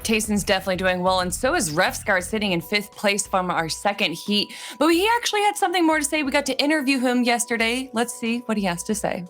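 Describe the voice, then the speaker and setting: A woman's voice: on the high side, lively intonation, quick pace, few pauses, fairly bright tone. A woman presenting a live esports broadcast as host, with a conversational, enthusiastic delivery. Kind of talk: live esports desk commentary. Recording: music playing behind the voice.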